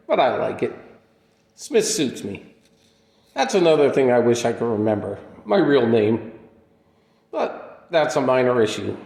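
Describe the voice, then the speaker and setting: Man playing a creaky-voiced, kindly lonely wanderer in echo. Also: medium pitch, darkish tone. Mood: gentle, upbeat. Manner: earnest, folksy, reflective. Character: kindly, lonely male wandering healer with little memory. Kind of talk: radio play dialogue. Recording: echoey room with long reverb.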